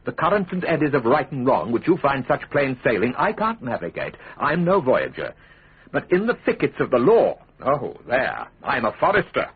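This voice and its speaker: A shrewd, principled English statesman of Tudor times in a radio play. His voice is very husky and swings expressively through measured, ironic speeches, on a muffled lo-fi recording. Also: medium pitch, huge pitch swings, heavily acted. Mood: calm, wry. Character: principled, shrewd middle-aged male Tudor lawyer and father. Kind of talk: British radio drama acting.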